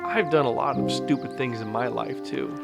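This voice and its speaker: Narrator reading as a glum young boy, resigned, kindly, middling pitch, children's storybook, music clearly behind. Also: normal speed, lightly acted. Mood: consoling. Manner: resigned, consoling.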